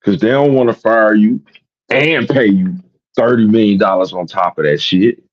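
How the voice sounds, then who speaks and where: A man's voice: on the low side, normal speed, muffled recording. A man hosting a sports talk show, rambling and repeating himself in casual, profane commentary. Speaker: sports podcast host.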